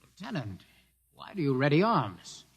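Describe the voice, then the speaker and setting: An actor playing an impassioned, defiant visionary in a 1950s radio drama, with theatrical, oratorical delivery. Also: male voice, a little high, very animated, normal speed, a little hiss or hum. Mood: alarmed. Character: impassioned, defiant visionary man pleading for his people. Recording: vintage radio recording with long hall reverb.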